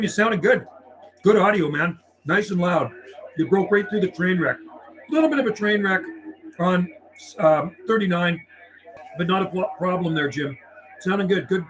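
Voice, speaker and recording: A man's voice: high voice, fairly bright tone, low-quality recording. A man working as a CB radio operator on a livestream, mixing radio calls with laid-back, friendly, slangy chat. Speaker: CB radio operator and live streamer.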